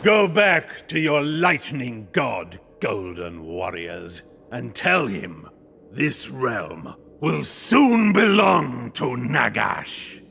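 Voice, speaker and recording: An actor playing an arrogant undead ghost knight in a fantasy game, with a gravelly voice and grandiose, menacing proclamations. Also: male voice, medium pitch, very animated, extremely slow, bright, forceful tone, faint music behind, heavily acted. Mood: menacing triumph. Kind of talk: villain acting in a fantasy game cutscene.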